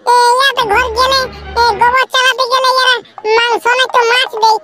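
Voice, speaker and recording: A man voicing a small, inquisitive village child in a comic cartoon, pitched up and pestering, with a Purulia Bengali accent. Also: little pitch movement, fairly quick, music in the background. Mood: eager. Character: small inquisitive village kid, eager to tag along.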